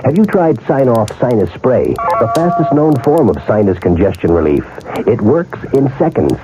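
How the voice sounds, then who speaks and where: A man's voice: mid-range voice, very animated, moderate pace, highs cut off, loud music behind the voice. A man reading a 1970s radio commercial in an emphatic, salesmanlike way. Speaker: commercial announcer. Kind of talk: 1970s radio advertisement read.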